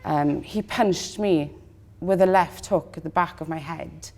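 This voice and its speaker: Low, mellow woman. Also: a little slow. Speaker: interviewee, local parent. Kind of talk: informal interview recounting. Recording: faint background ambience noise.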